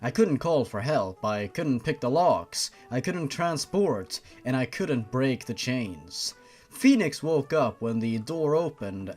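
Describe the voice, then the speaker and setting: A man hosting a fan fiction reading, narrating expressively and adding sardonic, incredulous asides. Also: medium pitch.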